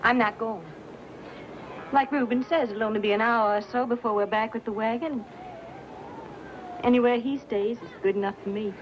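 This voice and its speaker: A muffled old radio western with faint noise. An actress plays a homely, devoted pioneer wife, stubborn yet motherly, in a fairly high voice. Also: steady tone, moderate pace, pauses often, natural voice. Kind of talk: western radio drama acting.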